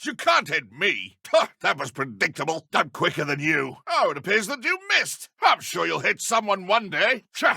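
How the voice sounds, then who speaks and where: An actor playing a haughty, menacing dark wizard in a video game, with a British accent and pompous, formal, theatrical delivery. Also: male voice, sits high, sing-song, moderate pace, gravelly, few pauses, breathy edge, no room sound, clean studio sound, heavily acted. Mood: taunting.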